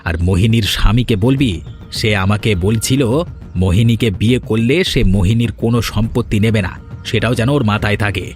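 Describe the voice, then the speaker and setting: A man's voice: on the low side, fairly even intonation, brisk, close to an everyday voice. A man voicing a domineering, resentful elder brother in a village family for a fairy-tale cartoon, over music. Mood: sarcastic, bitter.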